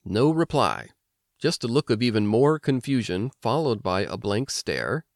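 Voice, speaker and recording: An American man hosting an instructional language podcast, explaining things clearly like a teacher. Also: medium pitch, sing-song, unhurried, no room sound, studio quality. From United States. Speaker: language lesson host and teacher. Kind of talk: instructional podcast narration with personal anecdotes.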